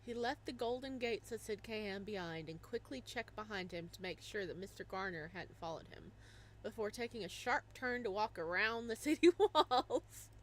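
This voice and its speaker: A young woman narrates a visual novel at a middle pitch, then bursts into animated, laughing asides about what she sees. Her reading is casual and friendly, switching freely between the story text and her own excited comments. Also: normal speed, a little hiss or hum.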